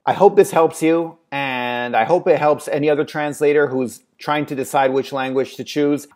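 A man in his thirties, a translation agency owner, giving relaxed, thinking-aloud advice on a webcam with an earphone mic in a small room at home. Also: medium pitch. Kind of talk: casual advice vlog answering a viewer question.

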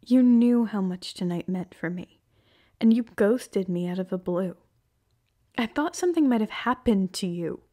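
A young woman playing a hurt, heartbroken girlfriend in an emotional, halting ASMR roleplay monologue. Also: medium pitch, animated, dark tone, natural voice. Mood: hurt, angry.

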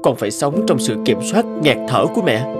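A man voicing a dutiful but stifled young adult son in an animated moral tale, speaking earnestly and politely with a plaintive edge. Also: mid-range voice, brisk, over loud music, close to an everyday voice. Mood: frustrated.

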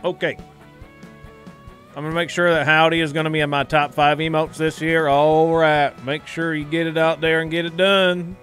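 Higher-voiced man with a folksy drawl, streaming. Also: little pitch movement, moderate pace, a bit bright, soft background music. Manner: folksy hillbilly drawl put on as a streaming persona; rambling, repeats phrases, salty swearing. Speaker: livestreamer. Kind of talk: casual live game stream chat.

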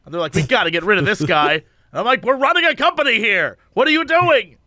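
A man co-hosting a casual podcast, hoarse from losing his voice, joking around and breaking into silly sung bits. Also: high voice, animated, fast, no room sound. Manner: goofy, sings and shouts bits, jokey asides. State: hoarse, lost voice. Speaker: podcast co-host.

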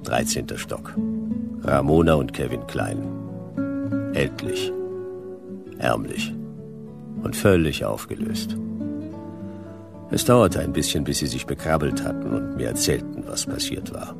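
An actor playing a laconic, world-weary private detective, narrating a radio drama in deadpan, terse fragments. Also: male voice, rumbling low, unhurried, gravelly, long pauses, loud music behind the voice, heavily acted. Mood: dry, laconic.

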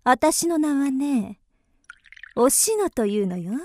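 An actress in a radio drama playing a forward young geisha, coy and probing, with old-fashioned feminine speech. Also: female voice, sits high, expressive, unhurried, no echo. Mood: teasing, forward.

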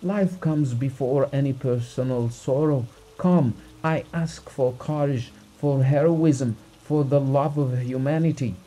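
A man voicing a gentleman who begs a doctor for help in an imploring, flowery, stilted storybook manner. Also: medium pitch, animated, unhurried, hardly any pauses, pitched above the performer's own voice, low-quality recording. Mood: pleading. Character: well-off gentleman desperately begging a doctor for help.

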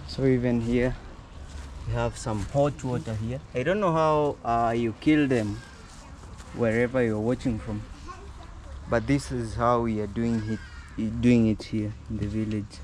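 A young Kenyan man filming a casual vlog outdoors in a rural yard, speaking to his viewers in a relaxed, low-key way. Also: measured pace. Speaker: vlogger. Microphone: small clip-on mic. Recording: outdoor noise behind the voice.

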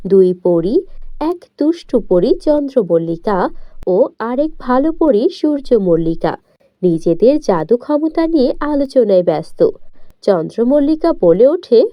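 A woman's voice: a little high, no room sound, dull audio. A woman narrating a scripted fairy tale for children as a storyteller.

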